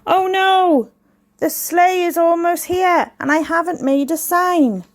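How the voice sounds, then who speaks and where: A woman's voice: very high voice, slow, pitched above the performer's own voice. A woman voicing a small, eager male Christmas elf who exclaims earnestly in a children's storybook. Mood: panicked.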